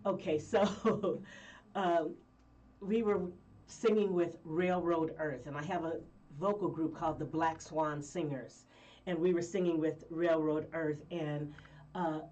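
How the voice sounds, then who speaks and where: Woman guest from Colorado, lively rambling storyteller, lower pitch, recorded a little distant. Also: slow, lo-fi sound. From Colorado, USA. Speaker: guest singer. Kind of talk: casual interview chat.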